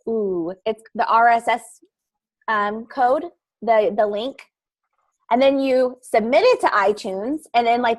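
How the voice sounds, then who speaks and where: Woman, a bit high-pitched, casual video-call guest, rambling explainer. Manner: rambling, searching for words, laughing. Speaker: podcast guest speaker. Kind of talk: casual how-to chat in an online meeting.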